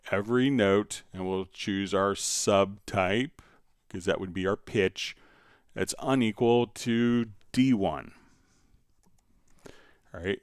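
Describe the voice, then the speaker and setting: A man hosting a live stream, casually explaining software step by step while he demonstrates it. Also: fairly low pitch, clear, resonant tone, no echo, studio quality.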